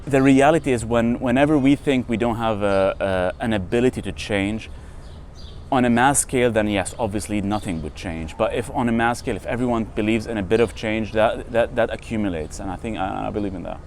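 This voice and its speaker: A man in his thirties with a Lebanese accent, an engineer speaking in a measured, hesitant way with fillers in a documentary interview. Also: lowish voice, a little hiss or hum. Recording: soft music under the voice.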